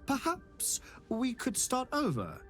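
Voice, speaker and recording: A man's voice: extremely high pitch, huge pitch swings, slow, fairly bright tone, faint music behind, exaggerated voice. A man playing a smug, oily wizard villain in a fantasy video game, theatrical and unctuously polite. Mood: oily politeness.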